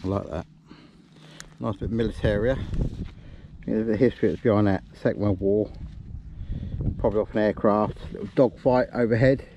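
Casting: middle-aged male, informal and matey, off-the-cuff outdoor vlog commentary, clearly noisy action-camera sound. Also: on the low side, fairly even intonation, normal speed, long pauses, dull audio. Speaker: metal detecting vlogger. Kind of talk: casual vlog chat and commentary. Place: open field outdoors.

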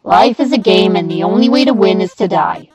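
A woman's voice: medium pitch, fairly quick, gravelly, husky, no room sound, faint music behind. A woman voicing a mischievous, gleefully murderous child in a comedic comic dub, theatrical and mocking. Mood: menacing, teasing. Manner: theatrical, mocking, prone to shouting.

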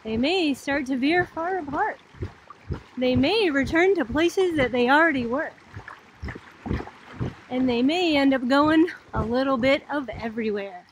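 A woman's voice: sits high, a little slow, long pauses, a little hiss or hum. A middle-aged woman from Boston teaching in a casual, chatty, explanatory way, like an informal college video lecture. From Boston, United States.

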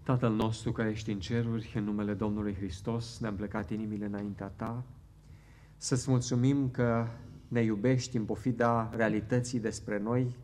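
A middle-aged man leading a closing church prayer at a pulpit microphone, earnest and fervent, pausing now and then. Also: mid-range voice, a little mellow. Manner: earnest, fervent, halting at times. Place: church hall with wood paneling.

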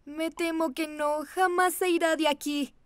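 A woman's voice: extremely high pitch, steady tone, measured pace, no room sound. A woman voicing a small, caring magical toy owl in a children's cartoon dub, with a cute, childlike manner. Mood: curious, frank. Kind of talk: animated children's series dub.

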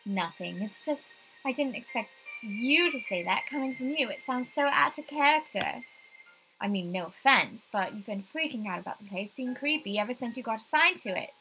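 Woman voicing a curious young friend, bright voice. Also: on the high side, expressive, normal speed, soft background music, poor audio. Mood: teasing. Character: curious, gently teasing young female friend.

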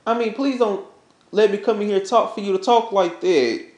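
A woman voicing a pleading, apologetic boyfriend in a relationship melodrama, halting and placating but firm. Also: mid-range voice, a little mellow, pitched below the performer's own voice, lightly acted. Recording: echoey, roomy sound.

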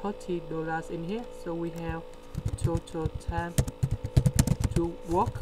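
Slow-paced man tutoring over hum. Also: a little high. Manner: slow, step-by-step explaining with pauses. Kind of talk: screen-recorded math lesson. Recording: steady electrical hum behind.

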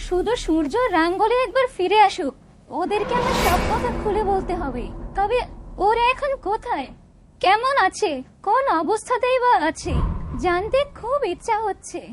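A woman's voice: extremely high pitch, expressive, normal speed. A woman voicing a sorrowful, resentful young sister in a fairytale cartoon. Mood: vengeful. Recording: loud ambient noise behind voice.

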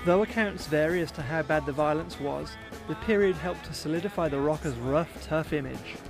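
A man's voice: a little high, brisk, vocal fry. Man narrating a documentary over music. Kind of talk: scripted narration. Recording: music bed playing underneath.

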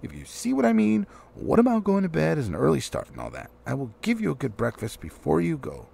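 A man's voice: fairly high pitch, huge pitch swings, fairly quick, creaky, warm tone, pitched above the performer's own voice, heavily acted. A man voicing a flustered, polite little male hobbit from a storybook, hesitant yet eager and rather prim. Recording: faint background noise.

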